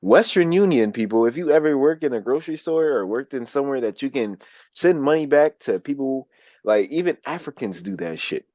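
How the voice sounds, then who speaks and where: A man's voice: mid-range voice, expressive, a little fast, darkish tone, dry recording. A young American man co-hosting a podcast, talking bluntly and profanely in a casual chat. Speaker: podcast co-host.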